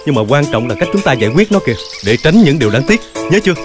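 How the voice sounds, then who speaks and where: A man's voice: fairly high pitch, sing-song, rapid-fire, over music. A man playing an instructive grown-up in a children's cartoon, admonishing the young ones.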